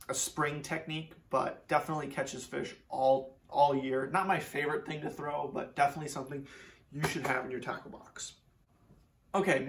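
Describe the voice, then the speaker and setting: A young man from Minnesota explaining fishing tackle in a casual, relaxed way, with lots of fillers, seated in a garage. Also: mid-range voice, gravelly, recorded from a distance. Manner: relaxed, many fillers, repeats words for emphasis. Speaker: fishing channel host. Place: garage workshop, seated indoors. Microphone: camera a few feet away.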